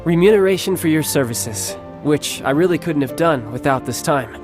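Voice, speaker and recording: Guy acting as a smooth young fighter in a game, playful big brother type, slightly high male voice, music behind. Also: expressive, moderate pace, lightly acted. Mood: confident, friendly. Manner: polished, smooth-talking, teasing. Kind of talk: English game dub acting. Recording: background music under the voice.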